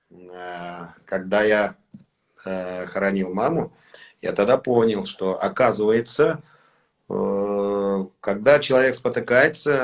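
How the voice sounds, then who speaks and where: A man talks in a mid-range voice, neither high nor low. He speaks haltingly and gently, often stopping to find the right Russian word. It sounds like a relaxed, casual interview. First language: Tatar. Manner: halting, searching for words, soft-spoken. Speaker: singer, interview guest.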